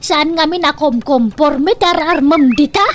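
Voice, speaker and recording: A woman's voice: high-pitched, unhurried. An actress playing a stubborn, meddling old mother in a radio drama, with a Filipino accent and a scolding, dismissive manner. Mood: scornful. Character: stubborn, meddling old mother who indulges her son.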